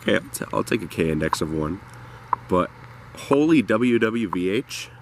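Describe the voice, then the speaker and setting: A man's voice: fairly low pitch, expressive, moderate pace, noisy background. A man doing casual, off-the-cuff commentary as a shortwave radio hobbyist, recorded outdoors in a backyard. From United States.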